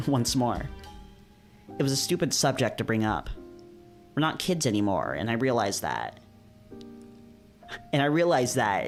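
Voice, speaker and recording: A man hosting a visual novel read-through, moving between narration and casual chat. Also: mid-range voice. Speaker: host of visual novel readings.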